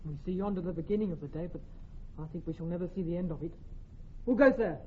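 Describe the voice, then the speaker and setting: Worried common soldier, male actor, classical radio drama, fast delivery, tinny band-limited recording. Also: sits high, lightly acted. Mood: anxious, wary. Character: anxious, gloomy common English soldier on night watch. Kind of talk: classical Shakespearean radio acting. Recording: thin phone-like band.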